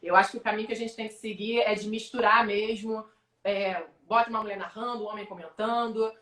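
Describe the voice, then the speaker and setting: A young adult Brazilian woman, a sports announcer, telling animated stories in a casual live-stream interview. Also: mid-range voice, reverberant. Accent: Brazilian Portuguese. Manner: animated storyteller, quick asides. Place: home, online video call.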